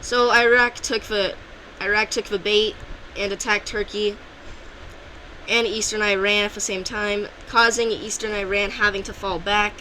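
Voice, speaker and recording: A woman talks casually over an alternate-history map, keeping her voice bright and clear at a middling pitch. She rambles, backtracks and corrects herself often, like unscripted commentary. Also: little pitch movement, measured pace, a little hiss or hum, low-quality recording. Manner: loose and rambling, with frequent restarts and self-corrections.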